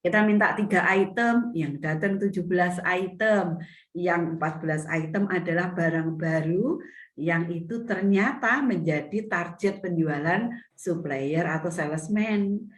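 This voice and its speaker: A middle-aged woman, teaching an online seminar as a retail business mentor over a video call, her voice a little hoarse from a cough, often restating her points. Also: lowish voice, unhurried. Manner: explanatory, repeats and restates points. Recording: echoey video call audio.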